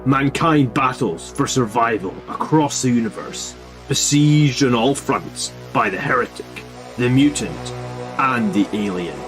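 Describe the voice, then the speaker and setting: A man giving a slow, portentous scripted narration for a show intro. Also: medium pitch, animated, music in the background, rough recording.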